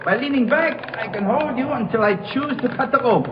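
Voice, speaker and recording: An actor playing a cold, treacherous mountain guide in a radio drama, speaking in deliberate, formal, commanding phrases. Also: male voice, very high voice. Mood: gloating, menacing. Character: treacherous male mountain guide turned cold, gloating killer. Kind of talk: old-time radio drama acting. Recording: noisy, muffled old radio recording.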